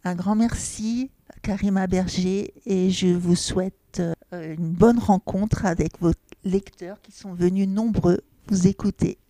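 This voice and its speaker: A woman's voice: mid-range voice, steady tone, measured pace, mellow, no echo. A woman hosting a literary radio show, giving brief, encouraging prompts to her guest. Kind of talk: radio interview.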